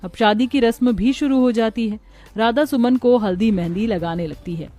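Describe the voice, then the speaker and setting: A woman's voice: on the high side, moderate pace, warm tone, soft background music. A woman narrating a scripted moral story as a storyteller.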